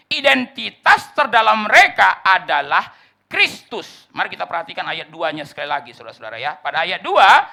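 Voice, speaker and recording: A male pastor preaching during a church service, his voice bright and forceful, with a gravelly edge. His pitch moves in lively leaps and dips. He keeps calling out to the congregation, alternating strong, emphatic declarations with soft, low asides. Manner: repetitive direct address to the congregation. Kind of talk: expository sermon.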